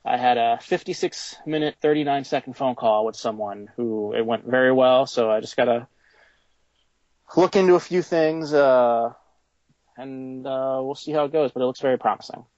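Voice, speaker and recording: Guy answering interview questions, relaxed casual chat, medium pitch. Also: normal speed, dry recording, highs cut off. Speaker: toy company founder being interviewed.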